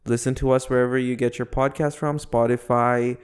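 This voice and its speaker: Joking man podcaster, halting delivery. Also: medium pitch, level tone, clear, resonant tone. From half Filipino. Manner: joking, halting when searching for words. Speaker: podcast co-host, comedian. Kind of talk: casual joking podcast chat.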